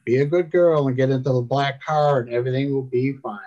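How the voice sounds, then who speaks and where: A man's voice: medium pitch, fairly even intonation, a little fast, warm tone, lightly acted. Story kidnapper, played by a man reading aloud: smug thug, coolly threatening, slightly muffled sound. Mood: mocking threat.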